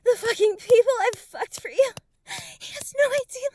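A woman's voice: very high voice, lively intonation, normal speed, darkish tone, a little hiss or hum. A woman playing an overwrought, conflicted adult bride in an explicit audio roleplay, with breathless, moaning delivery. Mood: anguished, pleading.

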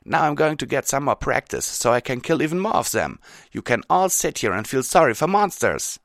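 A man voicing a hot-headed, proud female cartoon pony with a forceful, defiant delivery. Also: medium pitch, very animated, fairly quick, creaky, no room sound, clean studio sound, voice pushed up. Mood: angry, defiant.

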